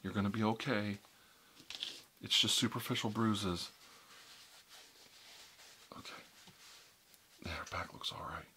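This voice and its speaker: Male caregiver, soft soothing whisper, lowish pitch, faint noise floor. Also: measured pace, pauses often. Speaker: roleplay caregiver. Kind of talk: whispered medical roleplay.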